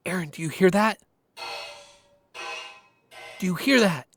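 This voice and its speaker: A man's voice: sits high, lively intonation, unhurried, gravelly, exaggerated voice. A man playing an uneasy young man in an audio drama, anxious and questioning. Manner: questioning, anxious, casual profanity. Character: uneasy young man, Greg, unsettled by strange noises. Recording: music under the voice.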